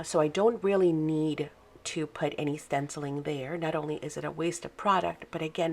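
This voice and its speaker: Craft host: woman, low froggy morning voice, chatty how-to delivery, some vocal fry. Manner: chatty, friendly step-by-step instruction with fillers. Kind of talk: casual craft tutorial. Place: home craft desk, early morning.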